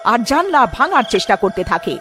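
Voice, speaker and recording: A woman's voice: a little high, very animated, fast talker, pushed and bright, soft background music. A woman narrating a scripted cartoon story.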